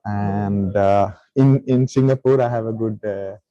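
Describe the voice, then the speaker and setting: A man with an Indian accent talks casually as a meetup host. His voice is on the low side and relaxed, with very little room sound. He tends to repeat short acknowledgements. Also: unhurried, no echo, lo-fi sound. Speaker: host and speaker at a tech meetup. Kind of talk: casual chat.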